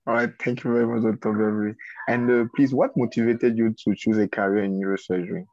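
A man, asking formal, polite questions as an interviewer in a courteous, slightly halting way, on a lo-fi recording. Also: fairly low pitch, fairly even intonation, a little mellow, no echo. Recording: dull lo-fi sound, dry.

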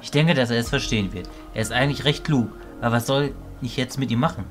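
A man playing a calm, serious, fatherly mentor mage in an audio drama, composed and matter-of-fact. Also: medium pitch, fast, faint music behind, natural voice. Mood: respectful.

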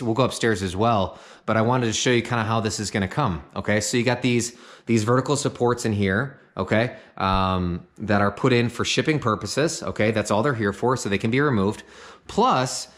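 A man giving a casual walkthrough of an unfinished house, speaking conversationally with many fillers and frequent "okay?" check-ins. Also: lowish voice. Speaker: home sales guide. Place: unfinished house interior, open framing.

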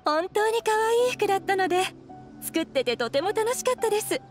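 An actress playing a friendly young kimono shopkeeper in an anime game, speaking with courteous customer-service politeness. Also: female voice, very high voice, expressive, a little fast, soft background music. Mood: friendly, grateful. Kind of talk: Japanese anime game voice acting.

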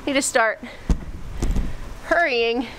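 A woman's voice: high-pitched, low-quality recording. Chatty woman filming herself cleaning. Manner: chatty, candid, a bit hurried. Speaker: vlogger. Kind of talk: casual vlog narration while cleaning. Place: small country church, indoors and on the porch. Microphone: handheld camera at arm's length.

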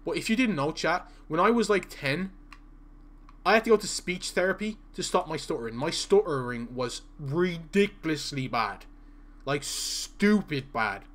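A man's voice: on the high side, expressive, normal speed, fairly bright tone. A young man with an Irish accent, chatting casually to his live-stream viewers while playing, reading out their messages and stuttering at times. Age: young adult. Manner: chatty, reads chat aloud, stutters at times. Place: small room indoors.

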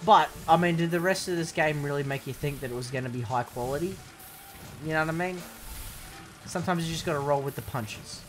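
A young man commentating on a video game in a sarcastic, sweary way, with sudden excited outbursts. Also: fairly high pitch, brisk, soft background music. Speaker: gaming commentator. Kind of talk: let's play commentary.

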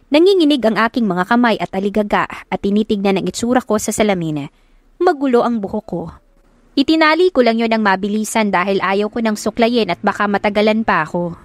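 A woman's voice: mid-range voice, no echo, clean studio sound. A woman reading scripted first-person romance narration as an audiobook narrator.

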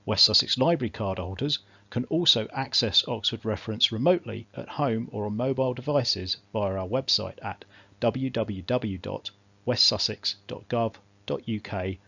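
Bright-voiced man narrating instructions. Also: on the low side, vocal fry, no room sound, highs cut off. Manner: measured, step-by-step delivery. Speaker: instructor, information librarian.

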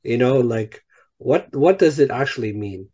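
Young guy host on headset, mid pitch, kinda hesitant, lots of um and restarts. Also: animated, normal speed, dark tone, husky. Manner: hesitant, many fillers and restarts. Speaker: podcast host. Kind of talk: interview hosting. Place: home room, indoors. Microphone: headset on video call.

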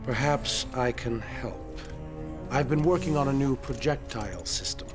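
An actor playing a cold, solemn armored scientist villain in a video game, slow and detached. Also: male voice, mid-range voice, natural voice. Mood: cool, offering. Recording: music behind the voice.

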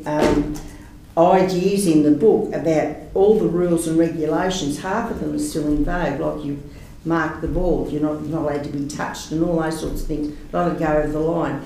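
A councillor with a gravelly, mellow voice talks his way through a report, full of fillers and restarts. He sounds distant, caught across a big, very echoey meeting room with a faint hum behind. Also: on the high side. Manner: rambling, earnest, with fillers.

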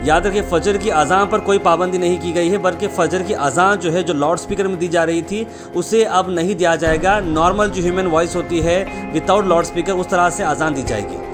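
A man's voice: high voice, normal speed. A man presenting news in a scripted narration style. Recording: music playing behind the voice. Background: music.

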